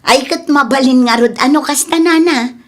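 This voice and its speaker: A woman's voice: high-pitched, moderate pace, lightly acted. Grown farm daughter woman actor, practical and bossy, Ilocano accent, comic radio drama. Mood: questioning, practical.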